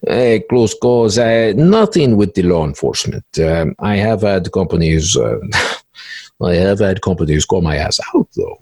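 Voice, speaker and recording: A man playing an easygoing, boastful male cybercriminal in an interview role-play, halting and repeating phrases in stilted formal wording. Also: low voice, lively intonation, darkish tone, no echo, studio quality, full character voice. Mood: amused, nonchalant.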